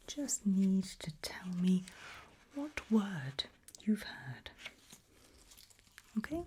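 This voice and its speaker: A woman whispering a medical exam roleplay in ASMR style, breathy and hushed, slow, deliberate and gently coaxing. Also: lowish voice, a little hiss or hum. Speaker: ASMR performer playing an examiner.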